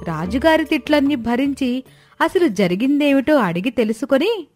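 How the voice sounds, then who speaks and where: High, animated woman storyteller over music. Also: moderate pace. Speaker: narrator. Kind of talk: expressive scripted story narration. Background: background music.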